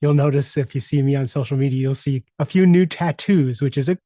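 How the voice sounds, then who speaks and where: A man's voice: medium pitch, brisk, mellow, dry recording, clean studio sound. A man in his sixties hosting a solo podcast, talking reflectively, earnestly and candidly as a coach. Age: 60s. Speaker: podcast host and leadership coach.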